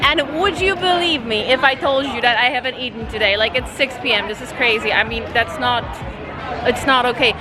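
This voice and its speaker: A chatty, excitable young woman from Poland, vlogging casually as she travels through city streets and taxis, laughing often. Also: on the high side, brisk. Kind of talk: casual travel vlog to camera. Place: outdoors on city streets and in a taxi. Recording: noisy outdoor vlog with loud crowd and music. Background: loud street crowd and music.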